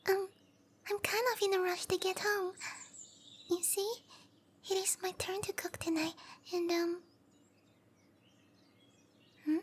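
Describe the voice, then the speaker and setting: A tiny young cowgirl monster girl speaks in a very high, girlish voice at a slow, careful pace. She is shy and apologetic, with soft, breathy hesitations, in the intimate style of anime roleplay ASMR. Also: steady tone. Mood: flustered, shy.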